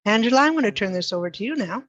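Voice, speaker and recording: Female chair, informal moderating, slightly high voice, a little dull video-call sound. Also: expressive, rapid-fire, fairly bright tone, dry recording. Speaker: meeting chair welcoming members.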